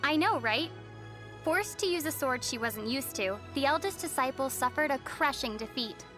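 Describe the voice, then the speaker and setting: A woman voicing a gentle, thoughtful young traveller heroine in a video game, with a soft, measured, musing delivery. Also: high voice, animated. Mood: storytelling. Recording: music behind the voice.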